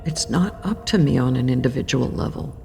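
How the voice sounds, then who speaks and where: An actress playing a solemn, ageless female keeper of death in a horror audio drama, gentle but resolute, with a gravelly, deliberate delivery. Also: extremely low pitch, heavily acted. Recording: faint music underneath.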